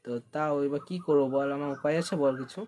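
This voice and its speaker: A man's voice: on the high side, little pitch movement, fast talker, darkish tone. A young man in a casual phone conversation, coaxing and repeating himself as he pleads. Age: young adult. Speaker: prank caller.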